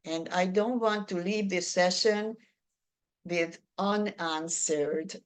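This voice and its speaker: A woman's voice: lowish voice, slow pace, clear, resonant tone. An older woman from Hungary with a Hungarian accent, presenting a workshop and answering audience questions in a chatty, self-deprecating way.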